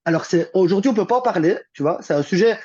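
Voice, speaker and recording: High-voiced man, rambling with fillers. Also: lively intonation, fast talker, a little mellow, husky, no room sound, dull audio. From Belgium. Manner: rambling with many fillers and restarts. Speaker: guest. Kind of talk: casual conversation.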